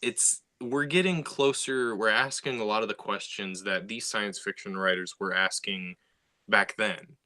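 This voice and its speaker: Young male writer, bright tone, casual rambling talk full of fillers. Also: lowish voice, unhurried. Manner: rambling, many fillers and restarts. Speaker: sci-fi writer. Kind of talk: casual conversation.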